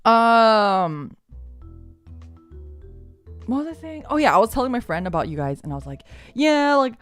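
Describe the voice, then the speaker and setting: A woman's voice: sing-song, normal speed, no echo, faint music behind. A woman streaming a game, chatting casually with her viewers in a rambling, giggly, self-deprecating way with lots of filler words. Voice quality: lower voice for a woman. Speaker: VTuber streamer.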